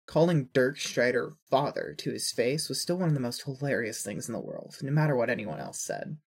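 A narrator reading a scripted second-person story with a dramatic, expressive delivery. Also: medium pitch, brisk, creaky, breathy edge.

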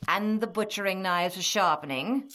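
An actress in an audio drama playing a bossy, scornful, nagging medieval wife with an imperious manner. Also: female voice, mid-range voice, normal speed, noisy background, natural voice. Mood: scolding. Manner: imperious, scolding.

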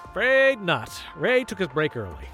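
A man's voice: sits high, wildly swooping pitch, normal speed, bright, forceful tone, faint music behind, exaggerated voice. A man playing a bossy, smug restaurant colleague in an audio drama, condescending and dismissive.

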